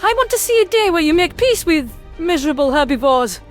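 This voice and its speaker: Male actor as tiny female alien, very high and squeaky, swooping, earnest scolder, audio drama. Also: big pitch swings, a little fast, a bit bright, heavily acted. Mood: chiding, pleading. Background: background music.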